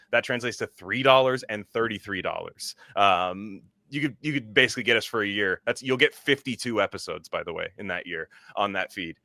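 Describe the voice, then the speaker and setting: Bright-voiced, rambling male podcast host. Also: on the low side, dry recording, studio quality. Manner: loose, rambling, swears casually. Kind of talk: casual chat.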